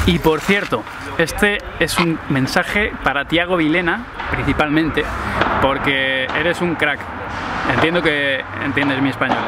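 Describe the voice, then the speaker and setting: A man, a padel coach talking casually to his vlog camera, relaxed and chatty with frequent restarts. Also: on the high side. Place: outdoor padel court. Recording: loud outdoor background noise.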